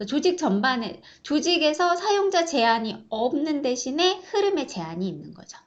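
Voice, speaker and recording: Woman teaching an online course, really animated intonation, polite n explanatory, bit muffled lo-fi sound. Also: sits high, normal speed. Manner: explanatory, polite formal address. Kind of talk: lecture-style e-learning presentation.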